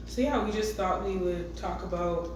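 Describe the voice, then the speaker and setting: A young woman with a raspy, fairly low voice chats casually as a podcast co-host and laughs easily. She sits some way off the mic in a very echoey room with a clear hiss of noise behind her. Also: moderate pace, recorded from a distance. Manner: laughs often, relaxed. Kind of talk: casual chat.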